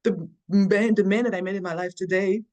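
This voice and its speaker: A woman's voice: mid-range voice, steady tone, fairly quick, a little mellow. A woman from Belgium, a podcast guest, talking earnestly and telling personal stories in casual conversation with frequent restarts.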